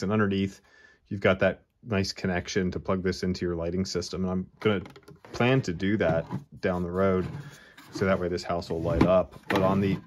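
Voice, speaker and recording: A man's voice: deep voice, slight background noise. A man casually explaining his model railroad scenery work, thinking aloud as he goes. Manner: relaxed, thinking aloud while working. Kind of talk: casual how-to narration. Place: hobby room at a model train layout.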